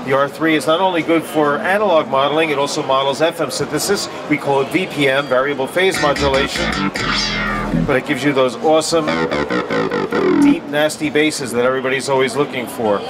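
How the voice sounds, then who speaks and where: A man's voice: fairly high pitch, brisk, over loud music. A middle-aged man giving a product demonstration at a trade show booth over a headset mic. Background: synthesizer sounds.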